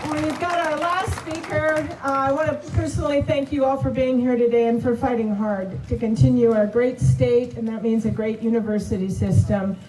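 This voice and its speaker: Casting: rally emcee, woman, warm and mellow, chatty, echoey and far from the mic. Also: a little high, steady tone, normal speed, few pauses. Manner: friendly, chatty introductions. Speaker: rally emcee and former legislator. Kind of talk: introducing speakers at a rally.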